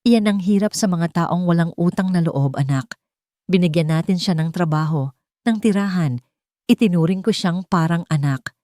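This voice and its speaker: A woman's voice: lowish voice, normal speed, dark tone, no room sound, clean studio sound, lightly acted. A Filipino-accented woman voicing a haughty, cold, rich older mother in an audio drama, melodramatic and condescending. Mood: bitter disdain.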